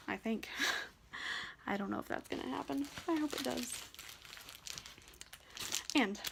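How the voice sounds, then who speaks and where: A young woman with a soft, quiet voice, chatting shyly and a bit nervously about her stitching projects in a casual vlog. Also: fairly high pitch, creaky, noisy background. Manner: shy, rambling, nervous. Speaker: cross-stitch vlogger. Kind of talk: casual show-and-tell vlog.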